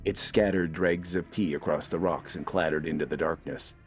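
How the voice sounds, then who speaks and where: A man's voice: deep voice, fairly even intonation, moderate pace, faint music behind. A man reading scripted, dramatic fiction as an audiobook narrator.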